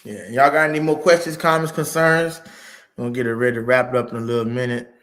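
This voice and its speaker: A man rambles through a relaxed, profane livestream chat. His talk is loose and slangy, picked up by a laptop mic at home. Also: mid-range voice, fast. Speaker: stream host. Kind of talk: casual late-night livestream chat.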